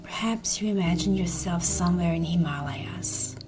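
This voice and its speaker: A woman narrating a guided meditation in a soft, breathy, often near-whispered voice, soothing and gentle. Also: fairly low pitch, normal speed. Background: meditation music.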